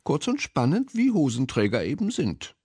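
A man's voice: mid-range voice, huge pitch swings, normal speed, darkish tone, slightly rough, no room sound, studio quality, voice pushed up. A man voicing a proud, chatty storytelling mouse in a children's storybook. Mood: pleased.

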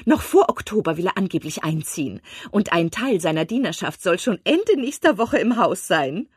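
A woman reading aloud and voicing an excitable, gossiping middle-aged mother of five daughters in an eager, insistent manner. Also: lowish voice, normal speed, breathy edge, no room sound, studio quality, pitched above the performer's own voice, full character voice. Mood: excited.

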